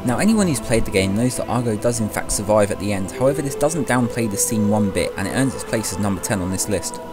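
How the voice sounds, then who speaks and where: A man's voice: fairly low pitch, lively intonation, fast. A man giving scripted opinion commentary as the presenter of a video game countdown. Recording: music behind the voice. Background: loud music.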